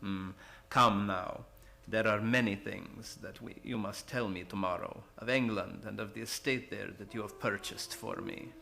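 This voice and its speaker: A man's voice: on the low side, fairly even intonation, creaky, pushed and bright. A man acting a courtly, menacing vampire count in an old-time radio horror play, speaking formally and with suave menace. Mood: suavely ominous. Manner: slow, formal, suavely sinister.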